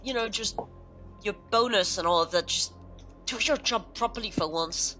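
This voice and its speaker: A woman's voice: mid-range voice, normal speed, sharp tone, soft background music, natural voice. A woman playing a blunt, distrustful space station team member in a conversational science fiction drama. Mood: exasperated. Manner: blunt, insistent, hesitating conversationally. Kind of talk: improvised conversational sci-fi drama.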